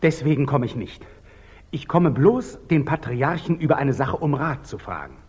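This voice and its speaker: An actor playing a proud, earnest young knight templar, formal and guarded, in 1940s German radio drama style. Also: male voice, mid-range voice, big pitch swings, normal speed, a little mellow, husky. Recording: old muffled 1940s radio recording.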